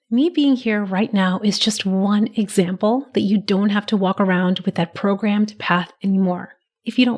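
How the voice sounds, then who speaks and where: Slightly raspy woman podcast host. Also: medium pitch. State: raspy, recovering from a cold. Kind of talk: solo podcast monologue.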